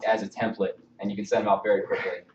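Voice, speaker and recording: A man giving an informal, halting work presentation on templates as a mortgage trainer, recorded from a distance indoors. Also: lowish voice, little pitch movement, noisy background. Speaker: mortgage loan officer giving staff training. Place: indoor training room with projection screen. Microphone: far camera microphone. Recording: distant, roomy, slightly dull mic.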